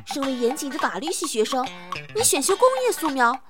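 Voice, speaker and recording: A woman playing an excitable, cheeky, gossipy young female student in a dramatic campus radio play. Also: sits high, a little fast, loud music behind the voice. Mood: cheeky teasing.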